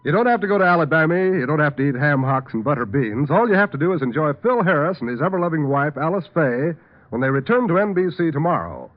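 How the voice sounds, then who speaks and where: A man's voice: a little high, big pitch swings, quick pace. An American man announcing from a script in 1940s radio style. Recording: dull old radio broadcast, music behind.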